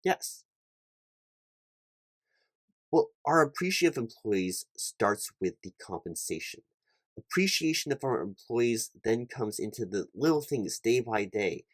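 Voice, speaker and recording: A man playing an earnest, slightly flustered recruiting manager in a one-sided job interview roleplay, speaking in halting, stammering corporate talk. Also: medium pitch, expressive, no echo.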